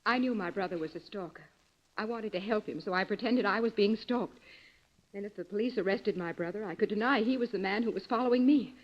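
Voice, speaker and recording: Woman acting a fast-talking, bitter jailed accomplice. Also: medium pitch, close to an everyday voice. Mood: bitter, defensive. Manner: resentful, insistent. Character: bitter, defensive jailed woman protecting her brother. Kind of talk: 1940s radio acting. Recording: dull lo-fi old radio recording.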